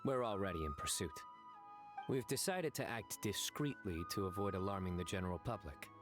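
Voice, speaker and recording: A man voicing a grave, confident law enforcer in an anime video game, speaking tersely with measured, deadpan delivery. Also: sits low. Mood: grave, determined. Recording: game music behind.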